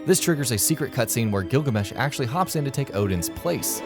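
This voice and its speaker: A man's voice: medium pitch, expressive, fast, over music. A man reading scripted narration for a video game list.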